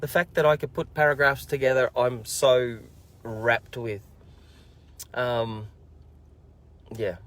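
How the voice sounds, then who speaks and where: A man's voice: medium pitch, steady tone, slow, long pauses, a bit bright. A man, hosting a children's learning program and chatting casually to camera in an upbeat, rambling, encouraging way.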